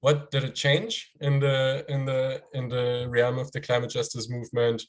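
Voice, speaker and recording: A man introducing and hosting an interview series, speaking in a measured, friendly way with some fillers. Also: mid-range voice, monotone.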